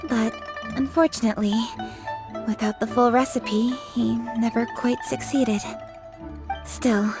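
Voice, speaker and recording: A woman's voice: on the high side, steady tone, measured pace, pauses often, music in the background. A woman voicing an earnest, thoughtful young noblewoman official in a game, formal and articulate. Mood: reflective. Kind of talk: scripted game voice acting.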